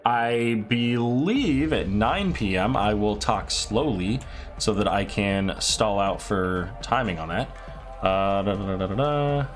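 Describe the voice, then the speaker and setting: Man casting esports, voice tired and raspy. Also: fairly low pitch, faint music behind. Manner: quick excited calls, then loose rambling sign-off. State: tired, voice getting raspy late at night. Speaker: esports caster. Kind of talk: solo esports play-by-play commentary.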